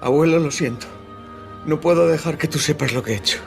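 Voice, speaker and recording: A man playing a disturbed, grimly apologetic teenage boy in a radio drama, halting and subdued. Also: on the high side, vocal fry, faint music behind. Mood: tearful resolve.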